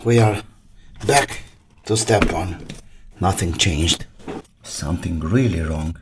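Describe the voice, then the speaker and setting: A man thinking aloud while he repairs electronics, muttering to himself in short fragments with pauses. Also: low-pitched, heavy background noise. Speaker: electronics repair video maker.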